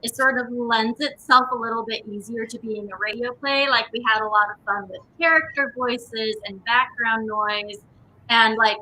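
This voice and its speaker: A woman's voice: fairly high pitch, steady tone, moderate pace, pushed and bright, rough recording. A woman guest from a theatre company chatting enthusiastically and casually in an interview, often saying "like". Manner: enthusiastic, frequent "like".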